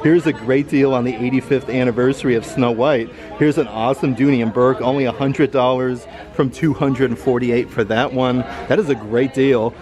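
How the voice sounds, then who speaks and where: A man's voice: medium pitch, steady tone, moderate pace, few pauses. A man vlogging an upbeat shopping walkthrough in an outlet store, filming handheld and reading off prices. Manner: upbeat, enthusiastic, lists prices.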